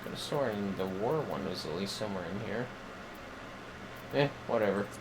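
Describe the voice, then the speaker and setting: Low-key wry male game streamer, noisy recording. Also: lively intonation, normal speed. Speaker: game livestreamer. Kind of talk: casual gameplay commentary, muttering to himself.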